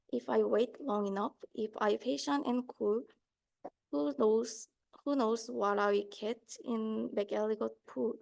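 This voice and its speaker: Creaky, higher-pitched young woman reading. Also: a little mellow. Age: young adult. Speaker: student reader. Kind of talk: reading a rhyming children's book aloud.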